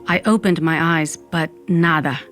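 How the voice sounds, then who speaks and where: A woman's voice: low voice, measured pace, fairly bright tone. A woman playing a sardonic undead woman, narrating an audio fiction in the first person. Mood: wry, reflective. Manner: wry, dramatic, peppered with Spanish exclamations. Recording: music bed under the voice.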